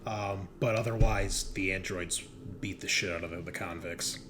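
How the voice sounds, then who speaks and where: A man's voice: sits low, fairly even intonation, normal speed. A man narrating a tabletop game as game master, improvising in a casual, conversational way. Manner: conversational, colloquial, with some profanity. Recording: clear background noise.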